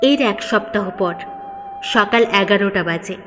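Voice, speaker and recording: A woman's voice: mid-range voice, a little slow. A woman narrating a scripted story dramatically as a storyteller. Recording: long reverb, background music.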